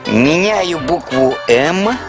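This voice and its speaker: A woman's voice: low-pitched, expressive, drawn-out words, heavily acted. A woman voicing a good-natured, curious little boy creature in a children's show, boyish and full of exclamations. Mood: curious, thoughtful. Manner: hesitant fillers, exclamatory, boyish. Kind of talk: children's educational show character acting. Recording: music under the voice.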